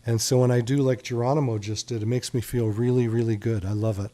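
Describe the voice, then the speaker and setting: A man's voice: mid-range voice, fairly even intonation, fast, dark tone. A man hosting a radio call-in show as a doctor, chatty and reassuring with callers.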